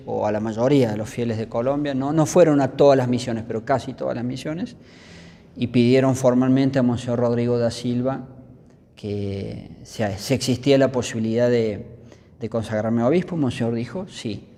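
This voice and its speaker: Argentine priest in a reverberant hall. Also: male voice, mid-range voice, moderate pace, mellow. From Córdoba, Argentina. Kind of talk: conversational storytelling in an interview.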